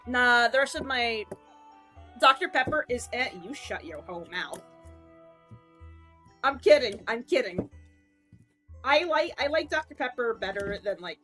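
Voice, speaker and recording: A woman's voice: on the high side, animated, unhurried, long pauses, bright, forceful tone, faint music behind. A woman streamer in casual rambling chat, jokey and self-mocking.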